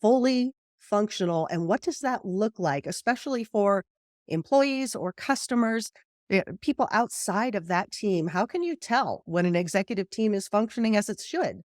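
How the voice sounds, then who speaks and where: A woman's voice: medium pitch, expressive, moderate pace, darkish tone, dry recording, clean studio sound. A woman hosting a podcast interview, upbeat and welcoming as she asks guiding questions. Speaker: podcast host. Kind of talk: conversational interview.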